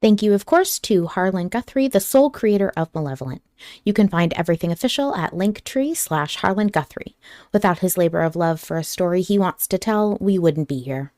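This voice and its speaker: A woman hosting a fan podcast, chatting casually in an animated, joking and sweary manner. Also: on the low side, brisk. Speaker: podcast host.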